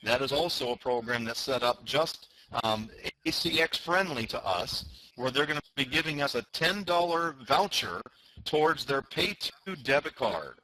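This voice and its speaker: A man with a bright, forceful voice at a middle pitch co-hosts a company conference call. He explains things to members in a promotional way, leaning on key words and repeating them for emphasis. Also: animated, moderate pace, rough recording. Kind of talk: promotional informational talk to members.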